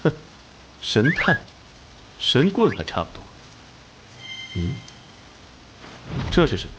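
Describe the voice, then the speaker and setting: An actor playing a hot-tempered, commanding police detective captain in an animated crime drama, brusque and scornful. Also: male voice, mid-range voice, takes it slowly, background noise. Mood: scornful, irritated.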